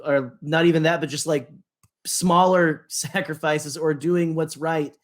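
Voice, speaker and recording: A man's voice: on the high side, fairly even intonation, unhurried. A man co-hosting a podcast in a casual fan discussion, rambling enthusiastically, often trailing off and repeating his words. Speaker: podcast co-host.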